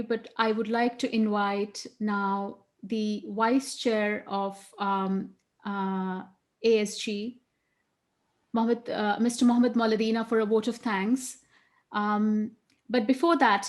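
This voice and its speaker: A woman's voice: a little high, darkish tone. A woman moderating a webinar, polite and formal as she thanks the speakers and hands over. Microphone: webinar call.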